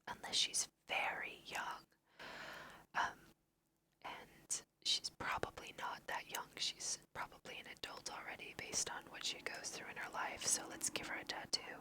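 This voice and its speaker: A woman whispering a casual, musing ASMR let's play, talking to her viewers as she goes. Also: bright, forceful tone. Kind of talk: whispered ASMR game commentary.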